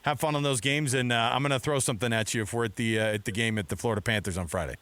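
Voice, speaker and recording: Male sports radio host, medium pitch, chatty, informal banter, dry close studio mic. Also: very fast, fairly bright tone, dry recording. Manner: chatty, informal, often says "man". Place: radio studio in Salt Lake City. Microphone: close studio microphone.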